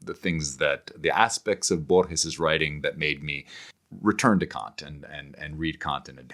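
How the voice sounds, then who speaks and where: A man with a deep, low voice talks as a guest in an interview. He explains in a scholarly, discursive way, often pausing mid-phrase to find the next word before carrying the thought on. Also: a bit bright. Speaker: podcast guest, author on philosophy and literature.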